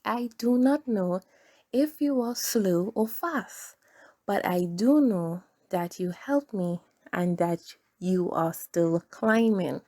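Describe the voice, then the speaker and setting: A woman voicing a slow, grateful storybook creature who is kindly and encouraging, with an earnest, reassuring manner. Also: medium pitch, mellow.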